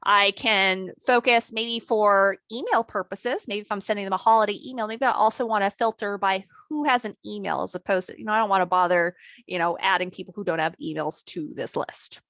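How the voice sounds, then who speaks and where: A woman trainer talking through a software tutorial in a casual, conversational way, her voice a little worn today. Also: mid-range voice, brisk, no echo, studio quality. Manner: conversational, lots of "maybe" and "you know". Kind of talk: screen-share software tutorial.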